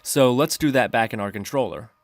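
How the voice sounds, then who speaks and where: A man with a medium-pitched voice presents a step-by-step software tutorial. His delivery is methodical and instructional, with faint music playing behind him. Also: fairly quick, no room sound. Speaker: programming tutorial host. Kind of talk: scripted tutorial narration.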